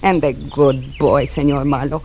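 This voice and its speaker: An actress playing a worried, courteous Spanish mother in her sixties, speaking halting, formal English with a Spanish accent in an old radio drama. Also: extremely low pitch, steady tone, mellow, slight background noise. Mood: polite, worried. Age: 60s. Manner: halting, formal, searching for words. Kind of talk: 1940s radio drama acting. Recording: old radio broadcast recording.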